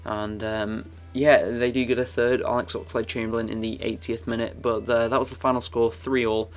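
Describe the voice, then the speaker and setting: A young man giving casual, rambling commentary over his football game footage, his voice croaky and full of fillers and restarts. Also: medium pitch, flat delivery, normal speed, faint music behind. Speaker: gaming YouTuber.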